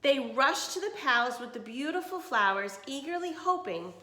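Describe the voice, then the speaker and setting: A woman reading a children's story aloud with expression, pausing to explain and put questions to her listeners. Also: high-pitched, animated, bright, forceful tone, echoey room. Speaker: teacher reading a picture book aloud.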